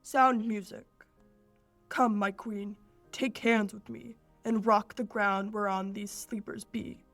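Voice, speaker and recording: A young woman playing a proud fairy king, reciting Shakespearean verse in a measured, declamatory way for a radio play. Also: medium pitch, fairly even intonation, fairly bright tone, no room sound, soft background music. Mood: stately. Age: teens or young adult.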